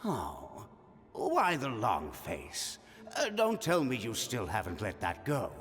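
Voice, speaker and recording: Friendly, easygoing game character, slow delivery, hugely varied intonation, long reverb. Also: male voice, lowish voice, huge pitch swings, fairly bright tone, exaggerated voice. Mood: teasing, friendly. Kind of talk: video game acting. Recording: long reverb, faint music.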